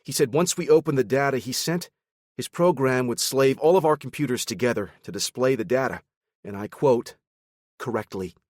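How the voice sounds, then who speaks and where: A man's voice: medium pitch, moderate pace, darkish tone, no room sound, clean studio sound, pitched above the performer's own voice, lightly acted. A man voicing an earnest, eager male analyst who misses the tension around him.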